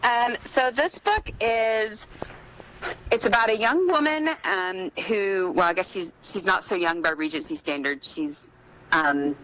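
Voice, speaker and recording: A woman's voice: medium pitch, lively intonation, a little slow, fairly bright tone, a little hiss or hum, poor audio. A woman giving conversational answers as a guest author in an interview.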